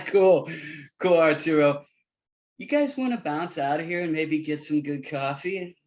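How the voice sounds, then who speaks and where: A man's voice: on the high side, a little slow, lo-fi sound, lightly acted. An actor at a table read playing a breezy, chummy male movie director, slangy and eager to seem cool.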